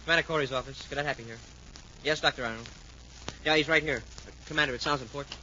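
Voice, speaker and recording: A man playing a boyish, excitable young space cadet sidekick in a 1950s radio adventure. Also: medium pitch, quick pace. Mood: deferential, eager. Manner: boyish, deferential, eager. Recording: very noisy, muffled 1950s radio recording.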